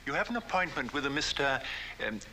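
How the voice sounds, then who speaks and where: Sharp-voiced, hesitant butler. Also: male voice, medium pitch, very animated, a little fast. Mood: deferential, hesitant. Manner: hesitant, formal. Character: polite, deferential, hesitant male butler. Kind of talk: 1960s television comedy acting. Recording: faintly noisy old television soundtrack.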